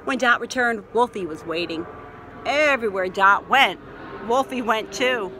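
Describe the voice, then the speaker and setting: A middle-aged woman reading a picture book aloud to children outdoors in an expressive way, pausing to ask questions. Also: on the high side, noisy background. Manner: expressive, asks listeners questions. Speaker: library story reader. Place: outdoors in a leafy yard.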